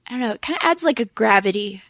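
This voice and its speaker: A woman co-hosting a podcast in casual chat, with a hoarse voice and lots of filler words. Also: a little high, expressive, very fast, a bit bright, no echo. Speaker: podcast co-host.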